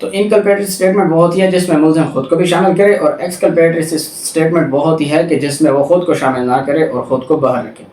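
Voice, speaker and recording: A man's voice: fairly high pitch, steady tone, fast, few pauses, a little mellow. Male Pakistani lecturer, explanatory and didactic, English terms mixed in; recorded away from the mic in an echoey room. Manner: didactic, alternating between Urdu explanation and English legal terms. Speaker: law lecturer. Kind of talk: explanatory lecture on legal articles. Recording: echoey room, distant microphone.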